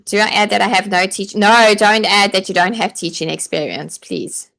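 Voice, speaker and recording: Chatty woman coach, gravelly. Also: mid-range voice, fairly even intonation, normal speed, a bit bright. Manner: chatty, encouraging, reads viewer comments aloud; frequent "like" and "okay" fillers. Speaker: online teaching coach. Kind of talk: live online training session.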